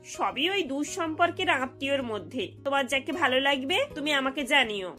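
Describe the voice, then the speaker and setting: Persuasive older auntie played by a woman for a cartoon: high, sharp, familiar, matchmaking. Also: expressive, moderate pace, soft background music. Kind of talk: cartoon character voice.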